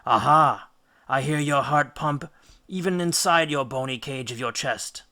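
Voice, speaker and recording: Storybook prophet's ghost, elderly and all-knowing, done by a man; gravelly, forceful, grave warnings. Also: medium pitch. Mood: knowing, ominous. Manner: ominous, measured pronouncements.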